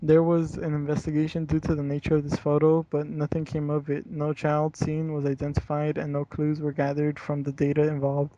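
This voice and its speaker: Young man, slightly high even voice, mellow, slangy and profane, casual reading and chat, lo-fi roomy recording. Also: fairly quick, hardly any pauses. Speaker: story reader. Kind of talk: reading a horror story aloud, casual chat. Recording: dull lo-fi home recording, roomy.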